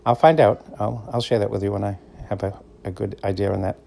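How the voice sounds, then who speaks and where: A middle-aged man with a Boston accent talks through a casual solo podcast. His low, gravelly voice has a mellow, dark tone, and he rambles easily from one thought to the next. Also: fairly even intonation, brisk. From Boston area, USA. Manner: meandering, conversational, easygoing storyteller. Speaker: podcast host.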